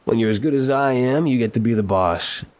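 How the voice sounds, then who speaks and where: A man playing a suave, playful young mafia boss in an intimate audio roleplay, teasing and smooth-talking, leaning on words for emphasis. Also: medium pitch, brisk, darkish tone, close to an everyday voice. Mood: smug, teasing.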